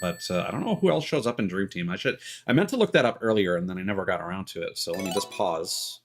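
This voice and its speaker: A man giving casual live game commentary, rambling and thinking aloud with halting, mid-sentence pauses. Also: sits low, animated. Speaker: game streamer. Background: video game music.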